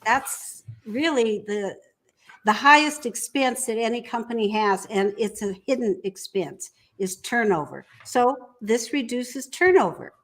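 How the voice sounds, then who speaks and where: Woman, fairly high voice, entrepreneur guest, conversational business explanation. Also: animated, measured pace.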